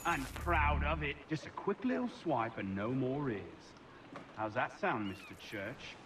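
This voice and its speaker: An actor playing a low-born ruffian torturer in a video game, speaking in a rough, colloquial, relishing sing-song. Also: male voice, expressive, a little slow, close to an everyday voice. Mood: gleeful menace. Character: sadistic low-born male ruffian torturer, gleefully proud. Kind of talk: video game cutscene acting. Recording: noisy ambience behind the voice.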